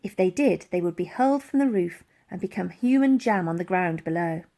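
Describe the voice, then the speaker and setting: A woman narrating a children's adventure story in an animated storytelling style. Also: fairly low pitch, fairly quick. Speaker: audiobook reader.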